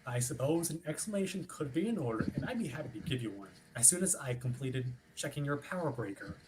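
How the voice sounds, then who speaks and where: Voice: mid-range voice, lively intonation, fast, background noise, natural voice. An actor playing an officious, politely pushy ship's watch officer in a formal, wordy script reading. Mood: smooth, threatening. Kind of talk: theatrical script reading over a livestream. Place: remote online stream.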